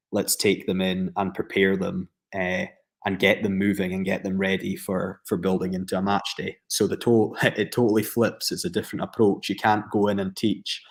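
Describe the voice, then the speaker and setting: A Scottish man, a professional footballer, giving long reflective answers in a podcast interview, with frequent fillers and restarts. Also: sits low.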